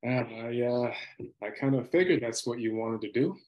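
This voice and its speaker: A man's voice: mid-range voice, moderate pace, muffled recording, close to an everyday voice. A man playing an evasive, hungry husband in his forties in a screenplay table read, hesitant and playful. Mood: hesitant, defensive. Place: indoor room on a video call. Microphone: video call microphone.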